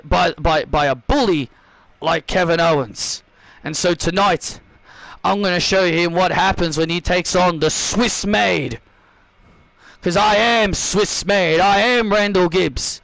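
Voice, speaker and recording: A man's voice: sits high, gravelly, fairly bright tone, dry recording, full character voice, poor audio. A man voicing an earnest young male wrestler who stammers through a promo. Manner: stammering, sincere.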